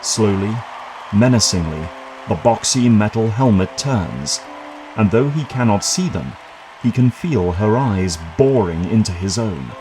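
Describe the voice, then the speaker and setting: A man reading scripted dramatic narration for an audio drama in a measured, suspenseful manner. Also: lowish voice, expressive, music in the background, low-quality recording.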